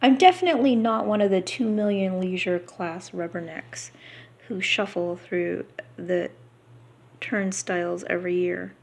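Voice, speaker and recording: Gravelly-voiced woman reading aloud. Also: on the low side, measured pace. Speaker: vlogger reading a book aloud. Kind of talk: unrehearsed read-aloud with casual asides.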